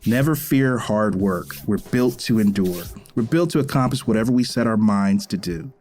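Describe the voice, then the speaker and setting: A man's voice: on the low side, normal speed, a little mellow, close to an everyday voice. An actor playing a firm, loving middle-aged father in a naturalistic drama, speaking in a plain, instructive way. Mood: earnest, advising. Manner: instructive, plain-spoken, colloquial. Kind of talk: naturalistic screen drama acting.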